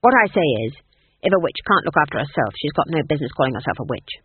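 A man reading the part of a proud, sharp-tongued old witch who speaks curtly and snaps. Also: fairly high pitch, expressive, normal speed, narrow, tinny audio, slight background noise. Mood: stern. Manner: curt, snapping.

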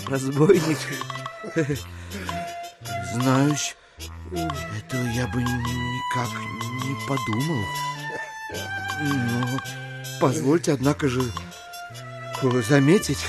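A man acting a slow, ingratiating gentleman visitor. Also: medium pitch, over music, close to an everyday voice. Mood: hesitant, conciliatory. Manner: hesitant, stammering, obsequious. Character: ingratiating, polite, cautious gentleman visitor, eager to please. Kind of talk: Russian literary audio drama acting.

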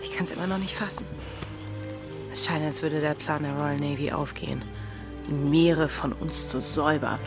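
An actress playing a tough, troubled pirate woman in a German audio drama, speaking intensely and haltingly. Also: deep voice, fairly even intonation. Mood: brooding. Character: tough, troubled young female pirate, brooding and proud. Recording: studio radio drama with music underneath.

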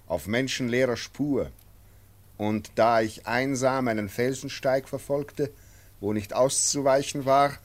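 An actor playing a bold, steadfast mountain hunter and father, declaiming verse with firm resolve in a classic radio drama. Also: fairly low pitch.

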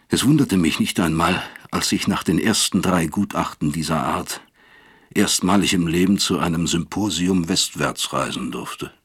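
A bitter East German professor looks back on his guilt in a radio play. His voice is extremely low and husky. He speaks deliberately, as if accusing himself. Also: heavily acted. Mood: brooding, self-accusing. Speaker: first-person narrator of a radio drama. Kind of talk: confessional radio drama monologue.

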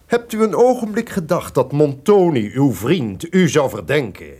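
Cunning lord with sweeping intonation. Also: male voice, fairly high pitch, sing-song, normal speed, warm tone, slightly rough. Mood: insinuating. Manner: formal, theatrical, insinuating. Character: cunning male lord, falsely friendly, menacingly distrustful. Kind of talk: Dutch gothic radio drama acting.

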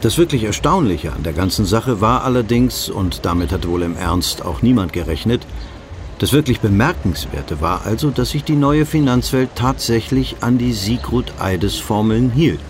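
An actor playing a measured, ironically earnest narrator in a satirical radio drama. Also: male voice, lowish voice, expressive, a little hiss or hum, natural voice. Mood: dry, explanatory. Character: wry male narrator of a financial satire.

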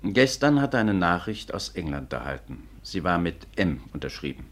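An actor playing a courteous, businesslike police detective in a 1950s radio drama, speaking formally and matter-of-factly. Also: male voice, deep voice, very animated. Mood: businesslike, explanatory. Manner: measured, matter-of-fact, formal. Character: courteous, businesslike male Scotland Yard detective.

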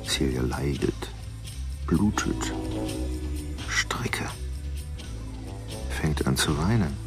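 A man's voice: extremely low pitch, animated, drawn-out words, full character voice. An actor playing a cold, contemptuous killer in a radio play, telling his story in terse, clipped phrases. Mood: contemptuous, brooding. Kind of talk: first-person radio play monologue. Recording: music under the voice.

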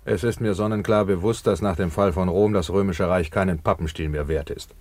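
A man's voice: deep voice, a little fast, a little mellow, soft background music. A man playing a pompous, portly rich manufacturer in a satirical radio drama, long-winded and coldly businesslike. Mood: smug, businesslike. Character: pompous, portly, cold-blooded rich trouser manufacturer and tycoon.

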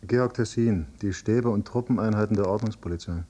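A man's voice: fairly low pitch, fairly quick, mellow, natural voice. An actor playing a grown son who questions his father in a persistent, accusing, controlled way, in a radio drama. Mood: cool, deliberate. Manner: insistent, probing, controlled. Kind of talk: German radio drama acting.

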